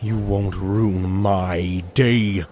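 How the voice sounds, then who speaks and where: A man's voice: deep voice, flat delivery, drawn-out words, a little mellow, soft background music. A man playing a menacing, grandiose supervillain boss in an audio drama, with taunting, gloating delivery.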